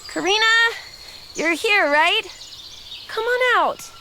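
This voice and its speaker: A woman playing a gentle, dutiful noble elder sister in an anime-style game, polite and composed. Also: very high-pitched, animated, slow pace, noisy background, exaggerated voice. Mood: calling out.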